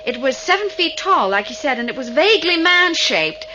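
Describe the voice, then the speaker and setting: High, animated, probing starship woman. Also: normal speed. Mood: urgent. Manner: questioning, insistent. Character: probing, persistent, inquisitive female starship crew member. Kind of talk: 1980 sci-fi radio drama acting. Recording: muffled, noisy old radio recording.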